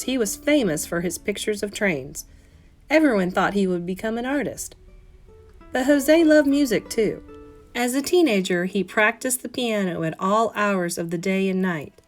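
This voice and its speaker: A woman reads a children's story aloud like a classroom teacher. Her voice sits at a medium pitch, with expressive emphasis and careful phrasing. Soft plucked guitar plays behind her. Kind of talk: expressive read-aloud of a children's picture book. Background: plucked guitar music.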